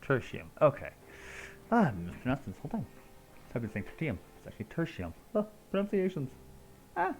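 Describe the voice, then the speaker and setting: A man's voice: medium pitch, huge pitch swings, a little fast, low-quality recording. A man giving casual, playful live commentary as he streams a game, chattily reacting aloud. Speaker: game livestreamer. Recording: game music faintly behind the voice.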